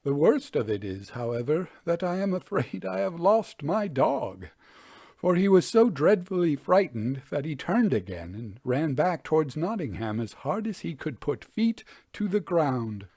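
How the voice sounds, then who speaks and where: A man voicing an unnerved but skeptical nineteenth-century gentleman traveler, telling his account formally and deliberately in a storybook reading. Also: on the high side, very animated, husky, no echo, studio quality, voice pushed up, heavily acted. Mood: puzzled, uneasy.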